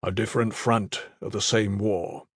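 A man voicing a stern, curt commander of an elite guard in an audiobook story, with a gruff, gravelly voice. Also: on the low side, fairly even intonation, normal speed, no echo, studio quality. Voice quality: gravelly, husky.

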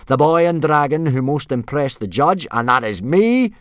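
A man voicing a loud, ill-tempered, pompous Viking warrior instructor barking commands in a children's storybook. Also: a little high, expressive, normal speed, smooth, dry recording, studio quality, pitched above the performer's own voice, lightly acted. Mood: pompous, commanding. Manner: bellowing, blustering, pompous commands.

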